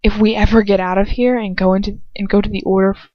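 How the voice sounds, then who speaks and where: A woman's voice: medium pitch, flat delivery, fast, dry recording, highs cut off. A woman reading first-person fiction aloud as an amateur narrator, in a dramatic style. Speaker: amateur audiobook reader.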